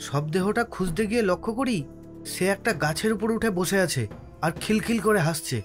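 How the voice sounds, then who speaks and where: A man's voice: high voice, brisk, over music, close to an everyday voice. An actor voicing an earnest, frightened village boatman insistently telling a ghost tale in a horror cartoon. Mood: grave, fearful. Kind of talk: cartoon horror story voice acting.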